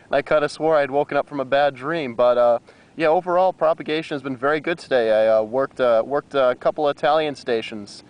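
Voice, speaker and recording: A man's voice: mid-range voice, a little fast, smooth, highs cut off. An American man chatting casually as an amateur radio operator, with a hesitant, rambling manner. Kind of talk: casual radio contact chat.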